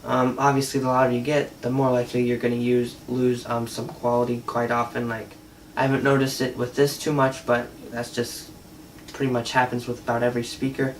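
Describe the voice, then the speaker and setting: Man, casual product reviewer, mid-pitched voice, conversational and slightly halting, recorded at a distance. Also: little pitch movement, a little hiss or hum. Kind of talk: casual unscripted product demo. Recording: distant mic, faint music at times.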